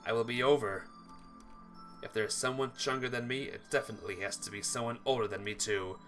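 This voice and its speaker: A man's voice: medium pitch, fairly even intonation, a little fast, a bit bright, soft background music, lightly acted. A man voicing a hot-blooded young male brawler, brooding and combative, in a theatrical, emphatic read-aloud of a visual novel.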